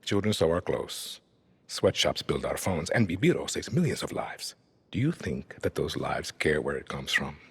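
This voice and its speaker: Very deep, menacing Latin dictator. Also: male voice, sing-song, breathy edge, heavily acted. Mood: cold, cutting. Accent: Latin American Spanish. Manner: measured, deliberate, menacing. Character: menacing middle-aged male dictator, calm and controlling. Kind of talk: cinematic video game acting.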